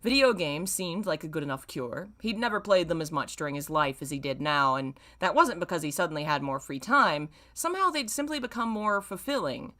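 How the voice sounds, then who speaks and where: A woman reads a story aloud for her online audience in a bright, forward voice. Her pitch moves around a great deal, giving the narration a dramatic, lively shape. She slips between reading and casual, self-mocking commentary on the text. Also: fairly quick, hardly any pauses. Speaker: YouTube host reading fan fiction aloud.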